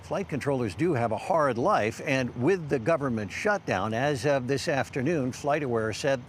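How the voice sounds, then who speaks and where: Male TV field reporter, American, lively varied intonation, scripted narration over faint vehicle ambience. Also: medium pitch, moderate pace, a little hiss or hum.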